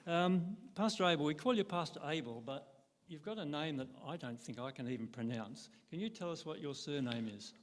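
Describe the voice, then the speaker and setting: A man's voice: a little high, a little fast, hardly any pauses. An older man who hosts a church stage interview as local pastor, teasing and friendly, with frequent ums. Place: church stage before a congregation.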